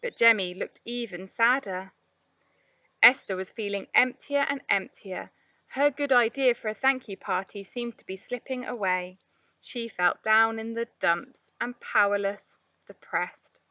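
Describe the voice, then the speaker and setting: A woman reading a children's story aloud in an expressive narrating style. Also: fairly high pitch, unhurried, no echo. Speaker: storybook reader, play therapist.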